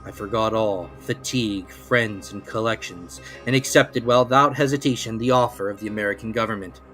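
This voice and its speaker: A man's voice: on the low side, normal speed, fairly bright tone, faint music behind, rough recording. A man giving a theatrical, formal dramatic reading of an adventure novel as its narrator. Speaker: narrator, reader.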